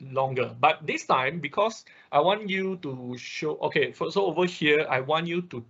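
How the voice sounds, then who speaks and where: A man's voice: fairly high pitch, normal speed, a bit bright, breathy edge. A man who is a non-native English speaker, teaching a live online programming tutorial step by step and often checking in with okay and right. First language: not English. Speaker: instructor of an online robotics programming class. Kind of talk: live tutorial with screen demonstration. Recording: dull, lo-fi online class audio.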